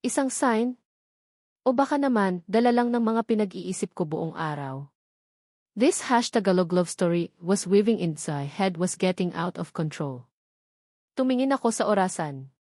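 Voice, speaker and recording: A woman's voice: mid-range voice, expressive, moderate pace, darkish tone, no echo. Woman, scripted romance narration, dramatic short phrases, mid-range voice, dry close sound. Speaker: story narrator.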